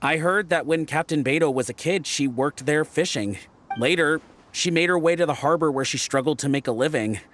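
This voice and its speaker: A man's voice: on the high side, fairly quick, a bit bright, natural voice. A man voicing a friendly, proud ship's lookout in a video game, chatty and explanatory, with music behind him. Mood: sincere, admiring. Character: friendly, proud male ship's lookout teaching weather lore. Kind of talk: scripted video game dialogue.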